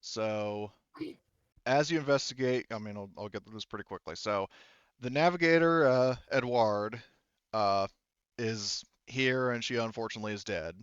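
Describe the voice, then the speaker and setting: A man's voice: fairly low pitch, moderate pace, a bit bright, clean tone, no room sound, highs cut off. A man narrating a tabletop game as game master, casual and improvised, swearing freely. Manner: informal, frequent swearing.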